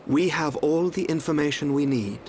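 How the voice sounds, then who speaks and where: An actor playing a calm, enigmatic young seer in a video game, speaking in a smooth, cryptic, unhurried way. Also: male voice, on the high side, slight background noise, natural voice. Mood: cool, smug.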